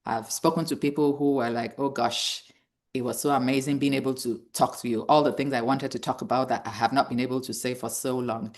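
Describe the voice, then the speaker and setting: A woman's voice: a bass voice, fairly even intonation, a little fast. A woman in her fifties giving a motivational awareness talk, earnest and encouraging, repeating key phrases for emphasis. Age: 50s. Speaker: speaker hosting a cancer awareness event.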